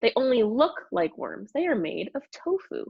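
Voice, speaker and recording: Woman acting out peaceful male storybook dog, soft voice, gentle, hesitant, modest, slightly muffled recording. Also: a little high, normal speed, vocal fry, breathy edge, voice pushed up, full character voice. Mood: calm reassuring. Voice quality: soft, calm voice.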